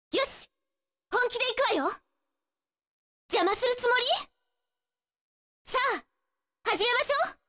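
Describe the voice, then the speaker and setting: A woman's voice: very high voice, fairly bright tone, long reverb tail, exaggerated voice. A woman voicing a spirited, cocky young female martial-arts fighter in a fighting game, brash, taunting and determined. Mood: determined, challenging. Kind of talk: Japanese fighting-game voice acting, short battle lines.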